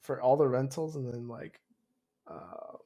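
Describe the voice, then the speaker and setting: A man's voice: mid-range voice, measured pace, dark tone. A young man co-hosting a podcast in casual chat, often repeating himself as he tells anecdotes. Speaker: podcast co-host.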